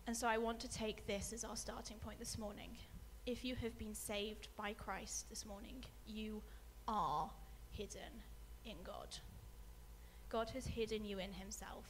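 A woman preaching a sermon, speaking softly with many ums and letting her phrases fade away quietly. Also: medium pitch, fairly even intonation, unhurried, slight background noise. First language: not English. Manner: soft-spoken, many ums, trails off quietly at phrase ends. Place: church hall with a screen.

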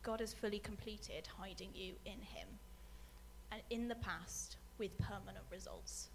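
A woman preaching a sermon, speaking softly with many ums and letting her phrases fade away quietly. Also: medium pitch, measured pace, creaky, a little hiss or hum, rough recording. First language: not English. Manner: soft-spoken, many ums, trails off quietly at phrase ends. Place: church hall with a screen.

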